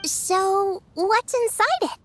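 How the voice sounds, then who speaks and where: A woman voicing a small, excitable fairy girl companion in an anime game, with a squeaky childlike voice. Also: slow pace. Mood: curious. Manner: refers to self in third person, chirpy. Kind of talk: English anime game dub. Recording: music behind the voice.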